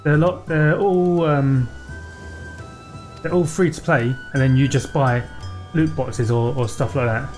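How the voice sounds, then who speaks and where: A man hosting a let's play, chatting casually in a halting, unscripted way. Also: mid-range voice, darkish tone, soft background music. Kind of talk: casual gameplay commentary.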